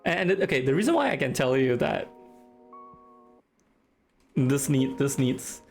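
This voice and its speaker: Gravelly Singaporean man streaming. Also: mid-range voice, animated, measured pace, soft background music. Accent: Singaporean English. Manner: chatty, teasing, laughs often, reads chat aloud. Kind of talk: casual live-stream chat while drawing.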